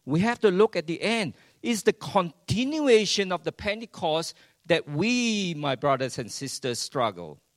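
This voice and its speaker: A man preaching a sermon in English. He swings between hushed lines and loud ones and keeps asking the congregation rhetorical questions. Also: a little high, dry recording. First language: Chinese.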